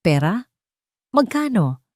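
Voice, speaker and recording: A woman's voice: medium pitch, very animated, slow, dry recording, studio quality, pitched above the performer's own voice. A woman voicing an anguished young single mother, bitter and shaken, in an audio drama. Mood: shaken, defeated.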